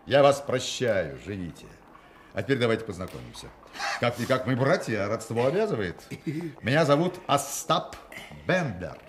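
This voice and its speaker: An actor playing a witty, self-assured swindler in a radio play, with mocking, theatrical, mock-solemn pronouncements. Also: male voice, mid-range voice, big pitch swings, normal speed, slight background noise, lightly acted. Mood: mocking, grand. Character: witty, self-assured swindler, a grown man, grandly ironic. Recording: studio radio play with music.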